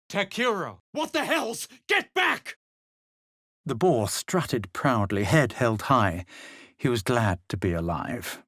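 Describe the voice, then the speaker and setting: An actor playing a haughty, self-assured adventurer in a fantasy video game, with a measured, dramatic and superior delivery. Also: male voice, on the low side, a bit bright, dry recording, clean studio sound, heavily acted. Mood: forceful, casting spells, then composed, superior. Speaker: voice actor of a player character. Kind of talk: scripted video game character lines and narration.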